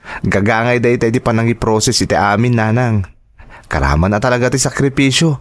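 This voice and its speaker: Young Filipino son, earnest and reassuring, mid-pitched male actor, natural audio drama dialogue. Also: brisk, natural voice. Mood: earnest, dutiful. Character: earnest, reassuring grown son planning to work abroad.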